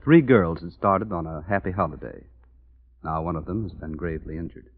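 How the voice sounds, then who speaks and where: An actor narrating a horror show as a grave announcer in 1940s radio style, on a muffled old recording. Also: male voice, low-pitched, fairly quick, husky.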